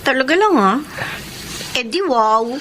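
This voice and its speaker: High-pitched, spirited young Filipina student. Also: female voice, expressive, a little slow, background noise. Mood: sassy, skeptical. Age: young adult. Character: spirited, dutiful young female student, about twenty. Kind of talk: radio drama acting and confessional narration.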